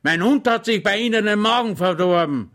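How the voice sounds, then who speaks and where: A man reading an audiobook, voicing a pompous, rambling older army colonel who speaks loud and slow. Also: high-pitched, lively intonation, pitched above the performer's own voice. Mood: pompous, blustering. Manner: loud, drawn-out, pompous, wandering off topic.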